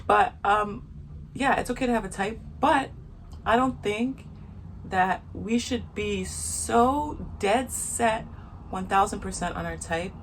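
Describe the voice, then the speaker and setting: A woman with a soft voice giving her frank opinions in a casual vlog, talking outdoors in the sun and repeating her points for emphasis. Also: medium pitch, slight background noise, rough recording. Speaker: vlogger.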